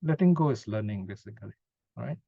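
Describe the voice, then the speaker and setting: Man, Buddhist teacher, soft-edged mellow tone, conversational Q&A, frequent tag questions, dull lo-fi sound. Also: lowish voice, expressive, fairly quick, vocal fry, husky, no echo. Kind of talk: informal teaching talk answering audience questions.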